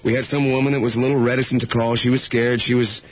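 A man's voice: mid-range voice, flat delivery, fast talker. A man hosting a radio morning show with joking, teasing, brash banter and patter. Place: radio studio. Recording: dull lo-fi radio aircheck, music behind. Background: music.

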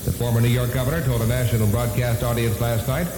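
Male news anchor for vintage AM bulletin: rapid scripted read, heavy echo. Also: mid-range voice, little pitch movement. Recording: hissy 1970s AM radio, reverberant.

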